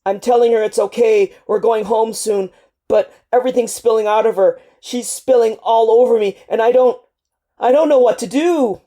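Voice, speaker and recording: A woman's voice: a little high, normal speed, warm tone, pitched above the performer's own voice, lightly acted. A woman voicing a grief-stricken young male marine who is crying, his words strained and tumbling out. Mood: anguished.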